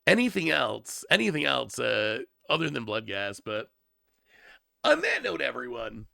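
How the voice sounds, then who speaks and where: A man's voice: medium pitch, huge pitch swings, a little slow, gravelly, bright, forceful tone, no echo, clean studio sound. A man thinking aloud on a casual livestream, often repeating words, with a voice worn down from congestion. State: losing his voice from congestion. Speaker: streamer reviewing card spoilers.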